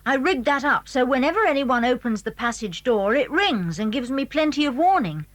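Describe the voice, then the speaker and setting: A woman's voice: sits high, expressive, normal speed. A woman playing a clever, secretive British schoolboy in a children's audio drama, eager and well-spoken as he explains things. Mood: eager, proud. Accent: British English. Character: clever, secretive schoolboy showing friends his house.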